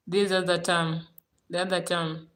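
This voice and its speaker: A woman from Kenya with a Kenyan accent, chatting casually on a livestream, warm and gracious toward her viewers and repeating her thanks again and again. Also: lowish voice, flat delivery, a little slow, roomy sound. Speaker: livestream host.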